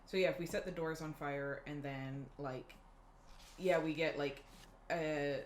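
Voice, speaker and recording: A woman's voice: low voice, steady tone, measured pace. A woman talking casually as a player in a tabletop game group. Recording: roomy echo, faint background noise.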